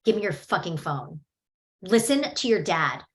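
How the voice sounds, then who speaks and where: An uptight, domineering father in his forties gives cold, clipped orders, read aloud by a woman with a lowish voice. His tone is commanding. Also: sing-song, a little slow. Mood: harsh, commanding.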